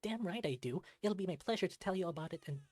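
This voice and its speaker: A performer voicing an irritable, sarcastic young male canine high schooler who talks in exasperated, sweary outbursts. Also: lively intonation, fast talker, darkish tone, close to an everyday voice. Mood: seething, sarcastic.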